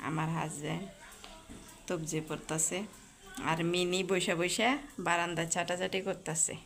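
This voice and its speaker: A woman's voice: lowish voice, little pitch movement, moderate pace, creaky, a bit bright. A woman with a Bangladeshi accent narrating her daily life at home in an informal, chatty, colloquial way. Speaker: home vlogger. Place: at home, kitchen and balcony.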